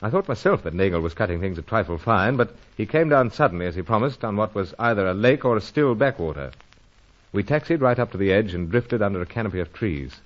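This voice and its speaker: Guy playing chummy british secret agent, old radio serial, super animated, dull noisy audio. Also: on the low side, fast talker, natural voice. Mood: grave narrating. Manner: plummy old-school politeness, chummy. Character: British secret agent, hearty, polite, unflappable gentleman adventurer. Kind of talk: old-time radio adventure serial acting and narration. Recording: muffled recording with clear engine noise.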